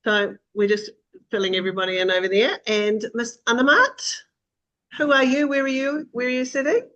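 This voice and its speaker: A woman's voice: on the high side, moderate pace, fairly bright tone, lo-fi sound. A woman hosting a casual group video call in an informal, teasing way. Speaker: host.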